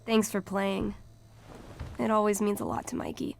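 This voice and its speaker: A woman's voice: medium pitch, wildly swooping pitch, moderate pace, vocal fry, a little mellow, slight background noise. A woman playing a young game master in a video game, who tells tabletop fantasy stories and chats casually. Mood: upbeat, grateful.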